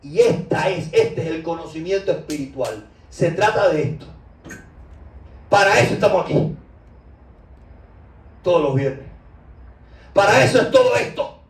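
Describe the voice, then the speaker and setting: Cuban male preacher, high voice, fervent and conversational, roomy far-off recording. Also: lively intonation, a little slow, pauses often. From Cuba. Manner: impassioned, with loose conversational asides. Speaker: spiritual teacher. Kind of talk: informal sermon. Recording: roomy echo, distant mic.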